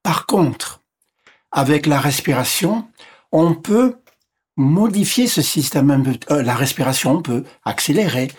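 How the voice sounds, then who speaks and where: Husky-voiced man explaining patiently. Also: a little high, lively intonation. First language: English. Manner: measured, lists and repeats points while explaining. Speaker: guest, physician. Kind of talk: explanatory interview talk.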